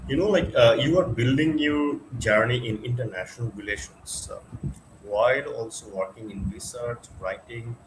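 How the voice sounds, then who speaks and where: A Bangladeshi man with a Bangladeshi accent, hosting an online interview, talking slowly with many uh fillers. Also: medium pitch, background noise. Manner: slow, with frequent uh fillers. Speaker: webinar host.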